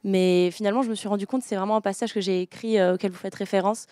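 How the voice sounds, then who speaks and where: Young woman, hesitant, lots of fillers, mellow tone, dry sound. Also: medium pitch, steady tone, quick pace, no echo. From France. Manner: hesitant, many fillers and restarts. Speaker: author guest. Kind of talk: interview conversation.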